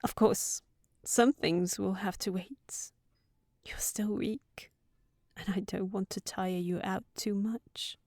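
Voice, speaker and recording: A woman's voice: medium pitch, steady tone, slow pace, darkish tone, dry recording, studio quality. A woman playing a sensible young housekeeper secretly in love with a wizard, in an intimate, earnest audio roleplay. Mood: tender, happy.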